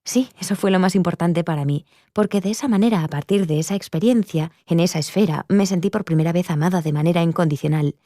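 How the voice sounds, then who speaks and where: An actress voicing a mellow, earnest woman. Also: on the low side, fast, hardly any pauses, no echo, clean studio sound, lightly acted. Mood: reflective, candid. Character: earnest adult woman recounting her near-death experience. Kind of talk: dubbed personal testimony.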